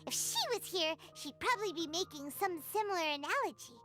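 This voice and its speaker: Squeaky, excitable little fairy girl. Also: brisk. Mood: explaining, chatty. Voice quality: squeaky, childlike. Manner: exclamatory, speaks of self by name. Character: small excitable childlike fairy companion girl, cheerful and blunt. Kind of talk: animated game voice acting. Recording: loud music under the voice.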